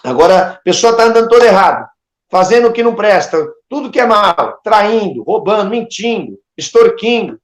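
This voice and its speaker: High-pitched Brazilian man preaching in an echoey room. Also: expressive, moderate pace. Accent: Brazilian Portuguese. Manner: admonishing, emphatic, with rhetorical repetition and questions. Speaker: preacher hosting an online ministry channel. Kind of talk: informal sermon addressed directly to listeners.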